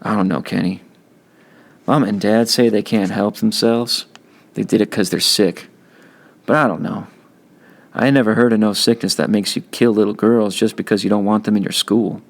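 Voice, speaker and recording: A man's voice: lowish voice, steady tone, moderate pace. Man playing a blunt, caring teenage big brother. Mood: gently reassuring. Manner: blunt, slangy, gruffly reassuring. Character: tough but caring adolescent big brother. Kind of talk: audiobook character acting.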